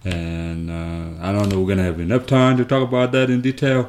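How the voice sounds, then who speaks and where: A man from South Sudan telling his life story as an interview guest, speaking haltingly with many fillers and restarts. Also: low-pitched, fairly even intonation, darkish tone, a little hiss or hum. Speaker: guest, nonprofit founder.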